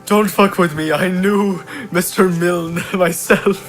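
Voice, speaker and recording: Man playing high-voiced, rambling boastful toy. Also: fairly even intonation, slow pace, fairly bright tone, soft background music. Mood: boastful, defensive. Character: rambling, taunting, foul-mouthed old-owner-boasting toy. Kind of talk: improvised comedic toy drama.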